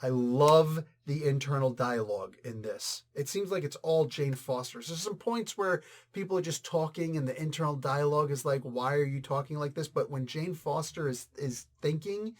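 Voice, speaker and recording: Male review host, voice a little on the high side, chatty and opinionated, punches key words and breaks into asides. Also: moderate pace, hardly any pauses. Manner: animated, emphatic, conversational with sudden exclamations and asides. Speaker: comic book reviewer and channel host. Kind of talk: casual opinionated review chat.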